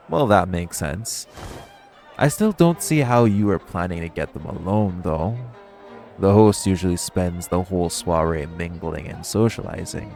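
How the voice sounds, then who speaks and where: A man's voice: sits low, vocal fry, darkish tone. A man playing a teasing, flirtatious and menacing male vampire in an intimate audio roleplay, smug and sardonic.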